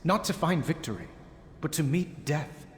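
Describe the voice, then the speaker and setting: A man playing an earnest, resolute young king in a game cutscene, speaking in a measured, noble, firm way. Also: fairly high pitch, animated, natural voice. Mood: troubled. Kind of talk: video game cutscene acting. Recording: clearly noisy ambience behind the voice.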